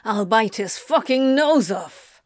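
A woman's voice: on the high side, normal speed, darkish tone, dry recording, clean studio sound, close to an everyday voice. A woman voicing an impulsive, snappish male snake demon in an audiobook story, blurting and yowling. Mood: furious.

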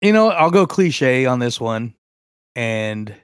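A man chatting casually as a podcast co-host in a medium-pitched voice, recorded dry with very little room sound. He talks informally and jokes easily, often at his own expense. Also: very animated, slow pace, clean tone, dry recording. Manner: informal, joking, self-deprecating.

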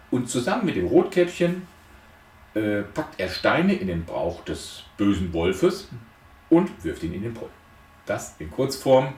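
A man's voice: fairly low pitch, a little mellow. A man giving an explanatory lecture, laying out numbered points emphatically and posing rhetorical questions. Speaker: lecturer on transactional analysis. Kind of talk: explanatory lecture retelling a fairy tale. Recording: echoey room, distant microphone.

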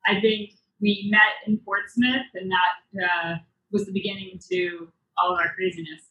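A woman from Massachusetts in her thirties, a business co-founder and podcast guest telling her story in a lively, quick way. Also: medium pitch, echoey room, lo-fi sound. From Everett, Massachusetts. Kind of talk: casual interview chat.

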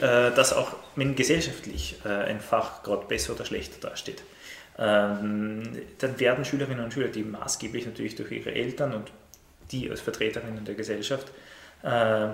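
A middle-aged man, a teacher explaining his views in an interview, measured and hesitant with many fillers. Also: medium pitch. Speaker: teacher of Latin and Ancient Greek. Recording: roomy echo with faint noise.

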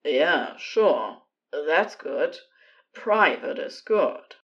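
A woman's voice: low voice, expressive, very slow, vocal fry, fairly bright tone, highs cut off, exaggerated voice. A woman voicing an agitated, embarrassed man on a helpline call in a story, curt and hesitant under strain. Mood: frantic, relieved.

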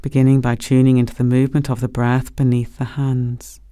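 A man gently leading a guided body scan meditation as a meditation teacher, speaking slowly and soothingly. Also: mid-range voice, monotone, dry recording, studio quality. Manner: gentle, slow, soothing instruction.

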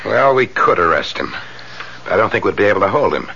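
An actor playing a terse, take-charge police detective in a 1970s radio mystery. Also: male voice, lowish voice, big pitch swings, fairly quick, vocal fry, pushed and bright, slightly rough, full character voice. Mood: certain, grim. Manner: terse, commanding. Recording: muffled, noisy 1970s radio recording.

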